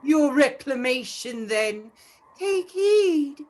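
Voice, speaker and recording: A woman performs a high-pitched female spirit for a radio play. The ghost speaks very slowly and formally, solemn and commanding, weighing each phrase. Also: animated, darkish tone. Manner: slow, deliberate, formal delivery.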